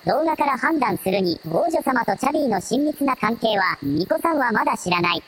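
A woman playing a young male sidekick in an audio drama, husky, casual and matter-of-fact, with an analytical fanboy streak. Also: on the high side, animated, fairly quick, rough recording. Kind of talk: Japanese audio drama dialogue.

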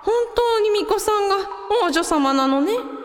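Woman as a casual village family member, high-pitched, animated, radio drama, long reverb. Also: brisk, slight background noise. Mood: surprised. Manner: casual, expressive. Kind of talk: Japanese radio drama acting. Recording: long reverb with music behind.

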